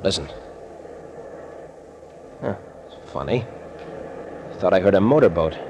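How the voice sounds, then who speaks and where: Brisk American radio adventure captain. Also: male voice, low-pitched, lively intonation, full character voice. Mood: wary, pondering. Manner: clipped, urgent, no-nonsense, colloquial. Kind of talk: 1940s radio serial acting. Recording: noisy old-time radio recording.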